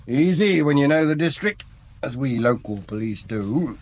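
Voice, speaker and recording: An actor playing a matter-of-fact male local police officer in a radio drama, reporting in a brisk, plain manner. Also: medium pitch, expressive, darkish tone.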